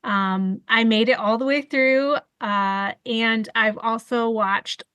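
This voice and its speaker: A woman's voice: on the high side, fairly even intonation, slow, bright, forceful tone, clear, resonant tone, no echo. A woman from Detroit chatting casually as a guest host on a fan podcast, rambling with many fillers. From Detroit, Michigan.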